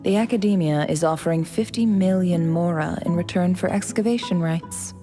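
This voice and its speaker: An actress playing a cool, calculating young woman in a video game, a scholarly envoy who speaks with measured, polite persuasion. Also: on the low side, warm tone, faint music behind, close to an everyday voice. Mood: courteous, persuasive.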